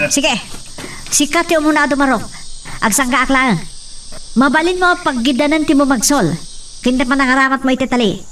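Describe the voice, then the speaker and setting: A woman's voice: high-pitched, recorded from a distance, background noise, natural voice. A woman acting a stern, commanding figure in an Ilocano audio drama, speaking with an Ilocano accent. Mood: brisk, instructing.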